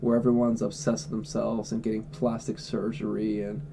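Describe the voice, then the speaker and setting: A man's voice: lowish voice, flat delivery, normal speed, vocal fry, background noise. A man livestreaming from home, answering chat questions in a blunt, offhand way and swearing freely. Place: at home indoors.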